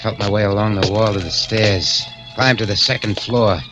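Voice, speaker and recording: A man's voice: fairly low pitch, steady tone, normal speed, dull audio, music in the background, natural voice. A man playing a menacing tough-guy crook. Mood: brooding. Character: hardened male crook posing as a drifter. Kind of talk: 1940s radio acting.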